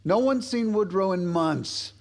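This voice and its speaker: Swooping, wisecracking tipsy politician. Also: male voice, high voice, lively intonation, measured pace. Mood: flustered. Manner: chuckling, wisecracking. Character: jovial hard-drinking male vice president, slightly tipsy and rambling. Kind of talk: period audio drama acting.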